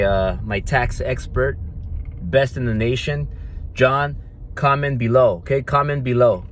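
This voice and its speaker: A man's voice: mid-range voice, background noise. A man in his thirties with a Mexican Spanish accent, a native Spanish speaker, talking in a brash, swaggering vlog, swearing and repeating himself. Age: 30s. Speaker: vlogger and entrepreneur. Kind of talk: casual boastful vlog.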